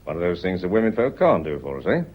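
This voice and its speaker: An actor playing a courteous but relentless police inspector in a radio play, questioning in a deliberate, insistent way. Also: male voice, low-pitched, big pitch swings, breathy edge, highs cut off, exaggerated voice. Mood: shrewd, insistent.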